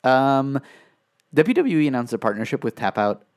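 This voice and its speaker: A man's voice: medium pitch, big pitch swings, normal speed. A man co-hosting a casual wrestling podcast, rambling and restarting his sentences, with frequent chuckles. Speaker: podcast co-host.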